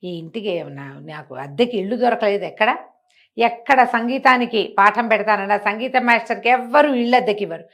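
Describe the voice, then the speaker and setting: A woman's voice: on the high side, fairly quick, a bit bright. A woman storyteller playing an unflappable, thrifty male music teacher who chats on with practical nonchalance. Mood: stubborn.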